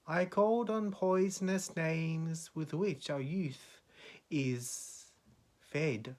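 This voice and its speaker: Very slow, solemn male poetry reader. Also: on the high side, darkish tone. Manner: solemn, measured delivery with deliberate pauses. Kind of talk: dramatic poetry recitation.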